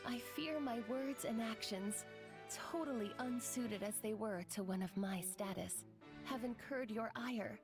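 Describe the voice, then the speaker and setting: Woman acting high-pitched young noblewoman, video game character, grandiose formal diction, theatrical temper, prominent music behind. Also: unhurried, lightly acted. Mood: meek, self-abasing. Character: haughty, theatrical young noblewoman mage, prone to abject self-abasement.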